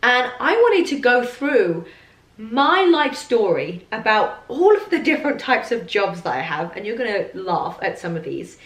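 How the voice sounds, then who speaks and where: A woman with a hoarse, husky voice from losing it, hosting a podcast in an upbeat, chatty way and coughing now and then. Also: fairly high pitch, very animated, unhurried, a bit bright. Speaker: podcast host. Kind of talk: casual chatty podcast monologue. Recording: roomy echo.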